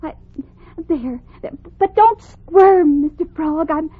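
Pampered young princess, very high girlish voice, breathless, theatrical, fairy-tale radio drama, tinny old recording. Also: slow pace. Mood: reluctant, disgusted.

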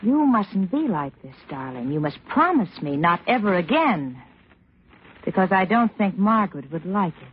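An American actress playing a self-possessed young wife in an old radio drama, breezy and placating but coolly defiant underneath. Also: female voice, mid-range voice, big pitch swings, moderate pace, slightly rough. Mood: cool, firm. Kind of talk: 1940s-50s American radio drama acting. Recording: dull, noisy old radio recording.

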